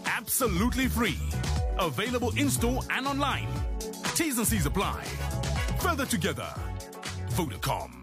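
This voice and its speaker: An announcer reads a radio ad in a smooth, sing-song way. The pitch moves around a lot. A clear hip hop beat plays underneath. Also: big pitch swings, normal speed, pauses often, over loud music. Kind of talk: radio ad read over music.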